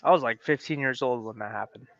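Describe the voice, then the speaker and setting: Man, early 20s, bright voice, easygoing banter, slightly dull dry sound. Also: mid-range voice, quick pace, no room sound. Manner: easygoing, teasing. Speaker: co-host of a sports show. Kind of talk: casual chat.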